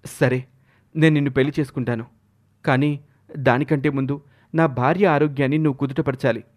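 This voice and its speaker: A man's voice: mid-range voice, steady tone, brisk, a little mellow, close to an everyday voice. A man voicing an anxious, devoted husband in a storybook tale, pleading earnestly.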